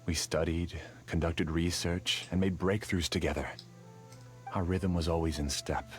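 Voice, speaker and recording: An actor playing a calm, obsessive male scholar villain in a video game, with a smooth, measured delivery. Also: low voice, faint music behind. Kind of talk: game voice acting.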